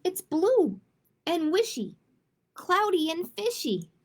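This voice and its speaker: A woman's voice: extremely high pitch, big pitch swings, takes it slowly. A woman hosting a children's storytime, reading picture books aloud expressively and adding simple chatty asides with little check-in questions. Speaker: library storytime host.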